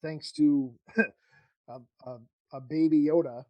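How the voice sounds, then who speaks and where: A man with a slightly high voice chats casually as a podcast host. He rambles and backs up as he thinks aloud. The sound is dry and close, with little room on it. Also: steady tone, slow, no echo, muffled recording. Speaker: podcast host interviewing a guest. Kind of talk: casual chat.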